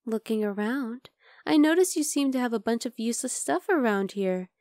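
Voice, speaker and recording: A woman playing a possessive, bloodthirsty vampire girl in an ASMR roleplay, talking intimately to the listener and slipping into breathy whispers. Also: medium pitch, warm tone, no room sound, clean studio sound, close to an everyday voice.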